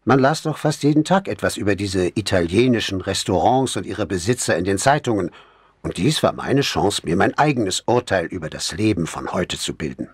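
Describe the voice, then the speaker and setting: Male narrator for crime audiobook. Lowish, husky voice, measured expressive reading, dry close sound. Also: no echo. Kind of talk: scripted first-person crime novel narration.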